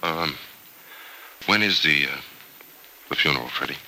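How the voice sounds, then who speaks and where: A man's voice: rumbling low, expressive, a little slow, pushed and bright, exaggerated voice. An actor in an old-time radio soap opera playing a sober, caring American man, thoughtful and hesitant yet resolute about helping his friend. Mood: hesitant, somber. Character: grave, caring male friend, resolute and self-sacrificing. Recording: noisy vintage radio drama recording.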